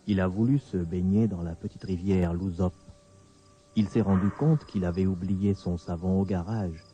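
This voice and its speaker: A man narrating a radio story in a dramatic storytelling style, with measured, deliberate phrasing and pauses. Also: deep voice, dark tone. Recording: dull lo-fi radio recording. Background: clear music bed.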